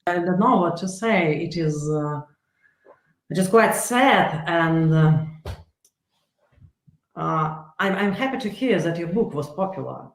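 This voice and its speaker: A man's voice: fairly high pitch, lively intonation, measured pace, creaky, long pauses. Hesitant Russian-born man journalist, echoey. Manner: hesitant, repeats words, searches for phrasing. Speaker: journalist, panel speaker. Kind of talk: panel discussion. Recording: roomy hall sound.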